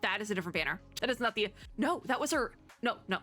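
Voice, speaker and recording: Young female VTuber for casual reactions. Bright, very expressive intonation, informal and chatty, music behind. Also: medium pitch, fast talker. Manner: chatty, informal, thinking aloud. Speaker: VTuber reacting to game trailers. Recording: music in the background.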